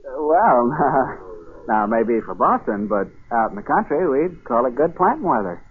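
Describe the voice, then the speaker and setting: An actor playing a plain-spoken, stubborn, naive country farmer from colonial times in a 1940s radio drama, hemming and hawing in a folksy way. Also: male voice, mid-range voice, big pitch swings, moderate pace, slight background noise, natural voice. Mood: hesitant, polite. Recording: muffled narrow-band 1940s radio broadcast.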